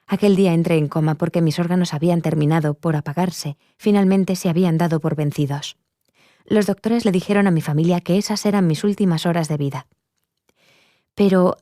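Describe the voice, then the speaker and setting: A woman's voice: fairly low pitch, mellow, no echo, studio quality, close to an everyday voice. A woman voicing an earnest, reflective woman giving a personal testimony in a dubbed interview. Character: earnest adult woman recounting her near-death experience.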